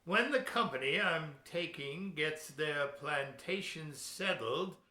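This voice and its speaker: A man playing a gruff, shifty captain of an old sailing ship in a historical audio play. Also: fairly high pitch, lively intonation, takes it slowly, bright, forceful tone, full character voice. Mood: sly, blustering. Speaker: amateur audio theatre actor. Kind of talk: historical radio play acting. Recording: roomy, echoing room sound.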